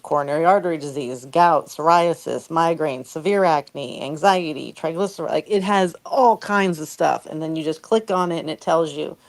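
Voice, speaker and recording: A woman's voice: deep voice, creaky. A woman talking casually and bluntly as a co-host on a live stream, unfiltered in her way of speaking. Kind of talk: casual chat.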